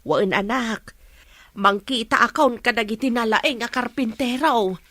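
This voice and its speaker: A woman's voice: a little high, unhurried, a bit bright, no room sound. A woman playing a doting older village mother in a radio drama, with a motherly way of talking. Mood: affectionate.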